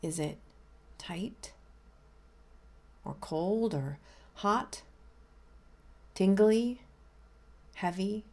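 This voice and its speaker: A woman minister leading a guided meditation in a gentle, unhurried, inviting way. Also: mid-range voice, lively intonation.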